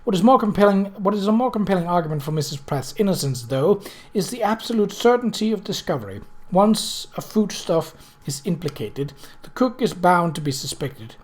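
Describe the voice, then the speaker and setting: A composed young woman of a storybook mystery , read by a man, speaks firmly and factually. She is sharp and stubborn, in a fairly high register for a male reader. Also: expressive, normal speed. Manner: clear, firm, factual. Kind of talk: novel reading.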